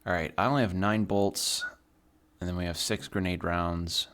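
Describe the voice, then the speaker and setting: Deep-voiced sweary Midwestern-accented streamer. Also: male voice, fairly even intonation, normal speed, a bit bright. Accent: Midwestern American. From Texas, USA. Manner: rambling, sweary, talks while playing. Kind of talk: casual let's-play chat.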